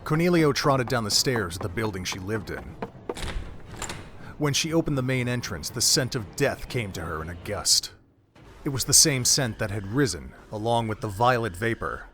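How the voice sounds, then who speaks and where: A man narrating a fan audio drama in a steady, measured storytelling manner. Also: lowish voice, a little hiss or hum.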